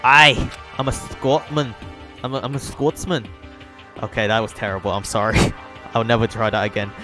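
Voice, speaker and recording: Chatty male streamer over soft music. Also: fairly low pitch, steady tone, moderate pace. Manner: chatty, thanks viewers, jokes, laughs at himself. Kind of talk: live-stream gaming chat and reading aloud.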